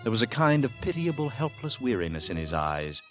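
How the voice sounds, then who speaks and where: An actor narrating a Victorian horror tale in a grave, measured, dramatic 1940s radio suspense style. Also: male voice, mid-range voice, big pitch swings, close to an everyday voice. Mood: brooding, suspenseful. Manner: measured, dramatic, brooding delivery. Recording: vintage 1940s radio recording with music.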